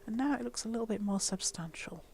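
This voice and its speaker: A woman talking through a game build in a soft, half-whispered voice, wheezy from a chest infection. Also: a little high, fast talker, gravelly, a little hiss or hum. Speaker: Minecraft building tutor. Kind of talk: casual game tutorial voice-over.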